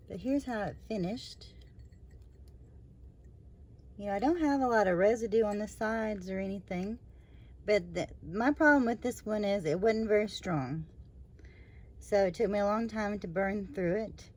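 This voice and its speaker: A woman hosting her own review channel, slightly hoarse after surgery, talking in a rambling, chatty way with lots of fillers and trailing asides. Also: medium pitch, moderate pace, darkish tone. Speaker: candle review channel host. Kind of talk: casual product review vlog.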